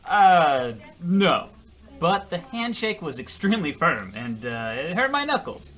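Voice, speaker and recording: A man's voice: on the high side, big pitch swings, measured pace, a bit bright, faint music behind, exaggerated voice. A man playing an oblivious, self-pitying husband in a comedic radio play, earnest, defensive and rambling. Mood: flustered, rambling. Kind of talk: comedic old-time radio play acting.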